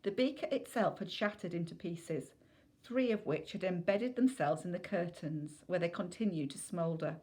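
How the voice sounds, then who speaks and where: A woman's voice: lowish voice, normal speed, darkish tone. A woman reading a children's novel aloud as scripted narration.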